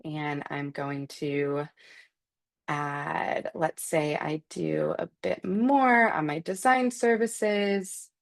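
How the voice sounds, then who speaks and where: A woman hosting a live webinar as a product trainer, explaining a software feature step by step in a conversational way and addressing attendees directly. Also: sits low, drawn-out words. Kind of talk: live screen-share walkthrough, instructional.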